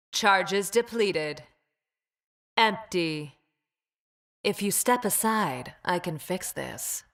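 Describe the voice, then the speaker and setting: A woman playing a cold, logical former combat medic in a video game, with clipped, detached, deliberate delivery. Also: lowish voice, a bit bright, heavily acted. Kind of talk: short scripted game voice lines.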